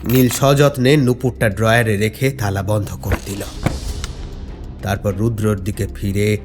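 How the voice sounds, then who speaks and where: A man's voice: on the low side, measured pace, music in the background. A man narrating a scripted horror story in a dramatic, suspenseful way. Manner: suspenseful, expressive.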